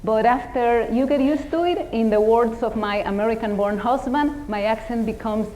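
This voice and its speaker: A woman's voice: a little high, moderate pace, warm tone, slight background noise, poor audio. A middle-aged woman from the Dominican Republic with a Dominican Spanish accent, giving a humorous, self-deprecating motivational talk as keynote speaker.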